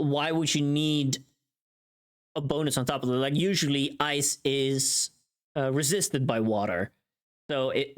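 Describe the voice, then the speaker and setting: A streamer with a medium-pitched voice chats casually, rambling and thinking aloud. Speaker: live streamer. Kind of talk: casual livestream chat.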